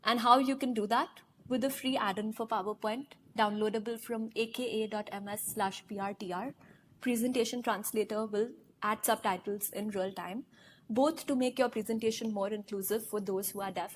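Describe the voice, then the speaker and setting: A woman's voice: a little high, a little hiss or hum. Upbeat woman presenter. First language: Hindi. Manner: brisk, upbeat, asks the audience questions. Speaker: conference presenter. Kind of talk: live product demo talk. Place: large conference hall.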